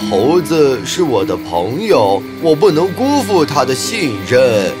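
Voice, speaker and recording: A man voicing an earnest, soft-hearted crocodile in a children's animated fairy tale, with a pleading, emphatic delivery. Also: medium pitch, measured pace, over music. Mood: troubled. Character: earnest, soft-hearted male crocodile, troubled loyal friend.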